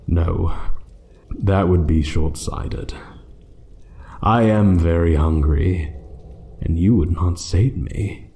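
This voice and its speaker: Ancient male dragon, acted by a man for fantasy ASMR: low, dark voice, condescending menace, toys with his prey. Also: vocal fry, a little hiss or hum, heavily acted. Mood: hungry threat. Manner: slow, taunting, condescending, dropping into whispers. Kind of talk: one-sided ASMR roleplay acting.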